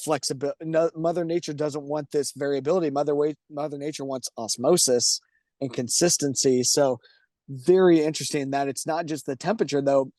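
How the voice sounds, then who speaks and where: A man's voice: a little high, steady tone, brisk, a little mellow, no room sound, studio quality. A man hosting a webinar who jumps in with enthusiastic comments, talking casually with restarts and fillers. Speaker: webinar host.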